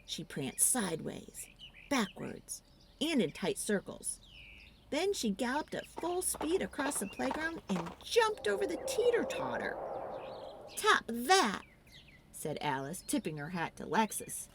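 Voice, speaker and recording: A woman narrating a children's storybook in an expressive, theatrical read-aloud style. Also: medium pitch, slight background noise.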